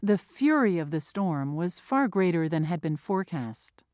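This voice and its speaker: A woman's voice: fairly low pitch, big pitch swings, normal speed, darkish tone, no room sound, clean studio sound. A woman reading vocabulary words and their definitions aloud with careful dictionary-style enunciation. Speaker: vocabulary reader. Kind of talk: slow, clear read-aloud of word definitions.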